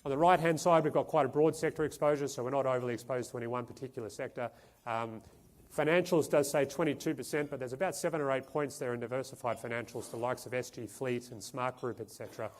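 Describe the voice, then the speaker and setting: A fund manager walks a conference audience through his portfolio. He is a man who speaks haltingly, often repeating words and starting his sentences over. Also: mid-range voice. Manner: hesitant, with frequent restarts and repeated words. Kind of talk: conference presentation. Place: conference stage.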